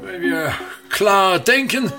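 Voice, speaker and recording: An actor playing a pompous aging state actor in a radio play, declaiming and grumbling bitterly, swinging between mutters and outbursts. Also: male voice, high-pitched, lively intonation, slow pace, over loud music. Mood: disgusted, gloomy. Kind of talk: theatrical radio-play monologue.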